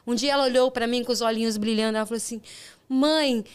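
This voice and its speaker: Brazilian lady hosting a podcast, smooth clear voice, a bit high, earnest storytelling with restarts. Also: fairly even intonation, quick pace. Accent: Brazilian Portuguese. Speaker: podcast host. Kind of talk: casual interview chat.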